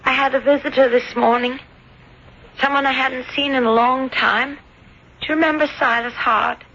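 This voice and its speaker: A woman's voice: high-pitched, fairly even intonation, moderate pace, pushed and bright. An actress playing a delicate, lovesick young woman in a radio drama, speaking coyly. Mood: coy, confiding. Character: lovesick young woman, a doctor's fond patient. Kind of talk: 1970s radio drama acting. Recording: dull, noisy old radio broadcast.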